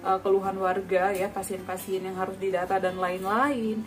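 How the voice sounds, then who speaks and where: A woman's voice: mid-range voice, level tone, normal speed, far from the mic, over music. A woman in her thirties casually sharing a personal story, chatty, with frequent tag words and hesitations.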